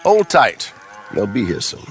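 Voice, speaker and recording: An actor playing a theatrical, flattering middle-aged gentleman con artist in a video game, florid and pompous. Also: on the low side, unhurried, soft background music, heavily acted. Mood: sly, jaunty. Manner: florid, effusive, pompous showman. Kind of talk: dramatic video game acting.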